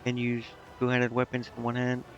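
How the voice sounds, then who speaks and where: Gravelly man streaming a video game. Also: mid-range voice, completely flat delivery, normal speed, soft background music. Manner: musing, questioning himself as he reads menus. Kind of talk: casual gameplay commentary, thinking aloud. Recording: muffled lo-fi mic with clear background noise.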